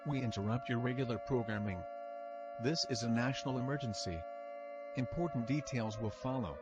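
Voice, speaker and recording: A man's voice: mid-range voice, fairly quick. A man reading a scripted emergency bulletin as an announcer. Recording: very noisy, sirens behind.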